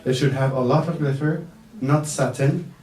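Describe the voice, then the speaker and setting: A man working as a makeup artist, giving a live demonstration to the press at an indoor event, talking slowly and hesitantly with many fillers and the odd joke. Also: medium pitch, fairly even intonation, a little mellow. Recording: echoey room, mic far off, faint noise.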